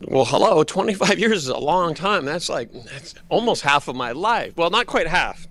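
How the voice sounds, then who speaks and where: A man's voice: on the high side, sing-song, moderate pace, a bit bright. A man of sixty answering interview questions in a casual, conversational way. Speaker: interviewee, long-time resident of Japan. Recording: noisy ambient background.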